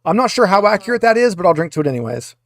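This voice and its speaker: A man narrating a tabletop roleplaying game as game master, in a casual, halting conversational way. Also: high voice, big pitch swings.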